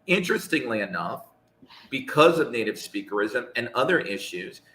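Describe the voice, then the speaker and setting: A man speaking in a casual live conversation, measured and emphatic, making points with rhetorical questions. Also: mid-range voice, sing-song, sharp tone, roomy sound, poor audio.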